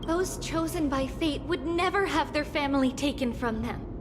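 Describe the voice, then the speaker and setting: A woman's voice: sits high, moderate pace, background noise, close to an everyday voice. A woman voicing an anguished, devoted young girl in a dramatic video game, earnest and grave. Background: game music.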